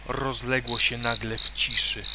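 A man narrating a children's story in an expressive, dramatic way. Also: medium pitch, unhurried, vocal fry, very noisy.

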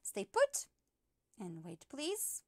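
A woman's voice: mid-range voice, huge pitch swings, extremely slow, darkish tone, no room sound. A woman teacher patiently walking parents through an app step by step, with frequent fillers. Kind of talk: app tutorial screen recording.

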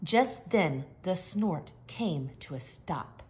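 A woman reading a picture book aloud to young children, with expressive, simple and emphatic phrasing. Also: lowish voice, slow pace, slight background noise. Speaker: children's story reader. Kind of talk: expressive storybook read-aloud.

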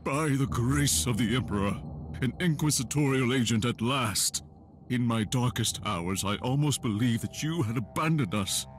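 An actor playing a devout, guilt-ridden priest in a video game, solemn and confessional. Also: male voice, mid-range voice, moderate pace, a little hiss or hum, lightly acted. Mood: solemn, penitent. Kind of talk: scripted game dialogue. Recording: game music behind.

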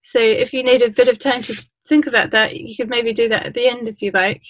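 A woman hosts an online interview, reading questions from the audience. Her somewhat high voice is dry and close, and her delivery is polite and measured. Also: fairly even intonation, no echo, poor audio. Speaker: interview host.

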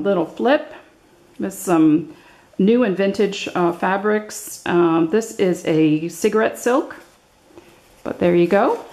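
An older woman giving a casual, unscripted show-and-tell as a craft vlogger, halting and thinking aloud as she goes. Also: medium pitch, a little mellow. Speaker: craft vlogger showing her handmade journals. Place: indoors at a table, hands-only overhead camera.

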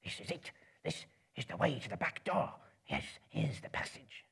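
A man's voice: medium pitch, wildly swooping pitch, moderate pace, fairly bright tone, voice pushed up, exaggerated voice. A man voicing a small, wretched, spiteful cave creature who hisses and mutters to himself in broken grammar, in a dramatic book reading. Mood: muttering, counting. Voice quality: raspy, hissing, creaky.

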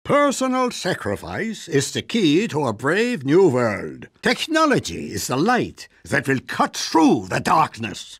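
An actor playing a pompous, grandiose mad scientist villain in a video game, raspy, speaking in grand, deliberate oratory. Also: male voice, a little high, no echo, studio quality, full character voice.

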